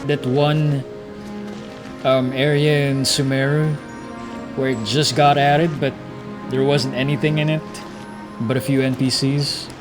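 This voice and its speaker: A man's voice: mid-range voice, little pitch movement, measured pace, pauses often. A man streaming a video game, giving laid-back, slangy reaction commentary over game music.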